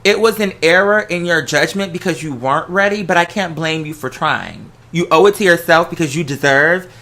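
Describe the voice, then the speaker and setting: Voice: mid-range voice, normal speed, bright, forceful tone. A host giving casual relationship advice in a conversational, sassy way, with slangy, emphatic asides. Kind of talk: casual solo advice talk to listeners.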